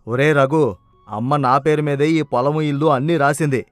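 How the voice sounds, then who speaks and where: A man's voice: on the high side, fairly even intonation, moderate pace, no room sound, faint music behind, natural voice. A man playing a greedy, arrogant elder brother in a village moral story, with a brash, insistent manner. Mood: deceitful.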